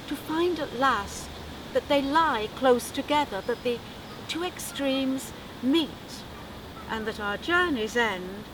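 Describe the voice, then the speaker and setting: A woman giving a dramatic recitation of Dickens as a theatrical, worldly female character, with measured stage delivery. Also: sits high, distant mic, background noise.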